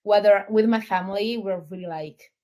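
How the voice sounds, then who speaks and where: A woman's voice: mid-range voice, normal speed, mellow. A young woman, a model and content creator, chatting easily as an interview guest, laughing often and using lots of fillers. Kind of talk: casual interview chat.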